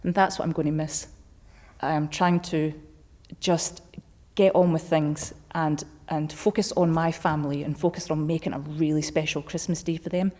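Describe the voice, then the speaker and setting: Deep, mellow Scottish woman in reverberant hall. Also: steady tone, normal speed. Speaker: theatre worker being interviewed. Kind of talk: reflective conversational interview.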